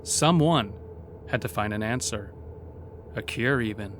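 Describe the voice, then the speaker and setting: A man narrating a scripted horror story in a measured, literary, dramatic manner. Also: on the low side, fairly bright tone, slight background noise.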